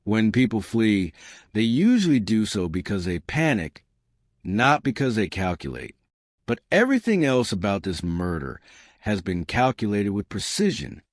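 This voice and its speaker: A man's voice: fairly low pitch, sing-song, normal speed, no room sound, studio quality. A man voicing a courteous little male detective in an audiobook mystery, formal, precise and authoritative. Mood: deliberating.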